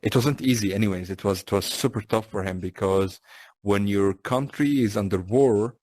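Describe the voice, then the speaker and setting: Young guy, syrian arabic accent, kinda low voice, easygoing chat full of "you know". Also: normal speed, dry recording. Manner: relaxed, many fillers like "you know". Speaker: guest. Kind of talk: casual conversation in VR.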